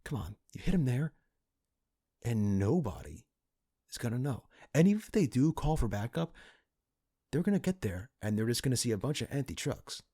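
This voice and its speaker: A man playing a cynical, pragmatic partner in crime to a vigilante in an ASMR roleplay, talking in a casual, halting, conspiratorial way. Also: medium pitch, big pitch swings, dark tone, husky. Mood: businesslike planning. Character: cynical, pragmatic male criminal accomplice to a vigilante.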